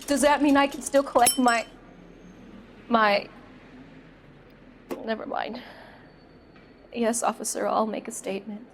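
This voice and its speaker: A woman's voice: a little high, unhurried, long pauses, a little hiss or hum. An actress playing a young female shop employee in a video game, an anxious and evasive murder witness who speaks hesitantly and guardedly. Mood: reluctant. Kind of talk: dramatic video game acting.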